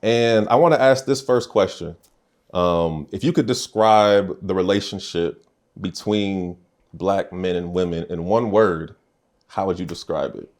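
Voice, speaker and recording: A man with a very low voice hosts a podcast. He talks in a loose, casual way, using slang and easy fillers as he introduces his guests and the topic. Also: a little slow. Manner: relaxed, conversational, uses slang. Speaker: podcast host.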